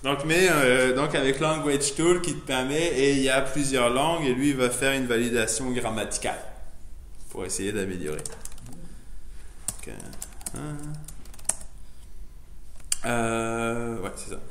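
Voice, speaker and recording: A man, a non-native French speaker, giving a loose, chatty software demo full of fillers and restarts. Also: mid-range voice, measured pace. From immigrant to Canada. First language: not French. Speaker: presenter showing his editor setup. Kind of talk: casual live software demo. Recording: echoey room, faint noise, keyboard typing.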